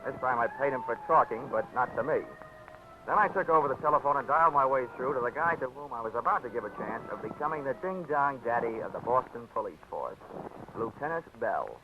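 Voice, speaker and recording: A man's voice: mid-range voice, animated, brisk, close to an everyday voice. An actor playing a hard-boiled male insurance investigator who narrates in a wry, clipped, wisecracking style in a 1940s radio drama. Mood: narrating. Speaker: lead and narrator. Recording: dull old radio broadcast with music.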